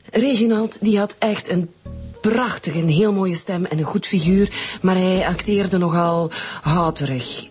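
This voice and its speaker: A woman's voice: on the low side, darkish tone, close to an everyday voice. An actress playing a flustered, sentimental woman in a radio drama, defensive and pleading as she is questioned. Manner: hesitant, pleading. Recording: faint music behind.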